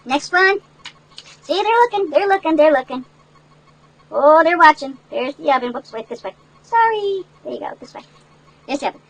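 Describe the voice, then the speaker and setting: A woman's voice: high-pitched, animated, normal speed, long pauses, slight background noise, low-quality recording. An older woman, a great-grandmother, reading a picture book aloud to children with chatty, instructive asides and questions to her listeners. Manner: instructive, checks in with listeners through tag questions.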